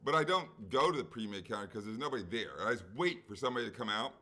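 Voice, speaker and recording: A man's voice: mid-range voice, quick pace. A middle-aged man on a sports betting podcast, telling a long everyday story and acting out the people in it, with casual swearing. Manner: animated storyteller, acts out quoted people, swears freely. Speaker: podcast co-host. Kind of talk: casual chat, long anecdote.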